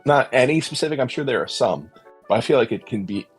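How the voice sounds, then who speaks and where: A man's voice: medium pitch, animated, fast talker, husky, soft background music. A man hosting a podcast, talking in an earnest, thoughtful way and searching for his words in a casual discussion. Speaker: podcast host.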